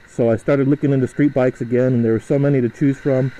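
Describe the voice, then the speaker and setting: A man's voice: mid-range voice, fairly even intonation, fast talker, mellow, slight background noise, rough recording. A young man chatting casually and rambling with fillers while riding a motorcycle through a suburb, recorded on a helmet mic. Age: young adult. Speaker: motovlogger.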